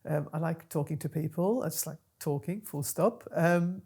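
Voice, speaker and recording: A woman's voice: low-pitched, moderate pace, mellow, husky. A woman in her fifties, a bit nasal from a cold, chatting casually about her own story in a small studio, with plenty of ums. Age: 50s. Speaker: podcast host and guest. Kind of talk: casual interview chat.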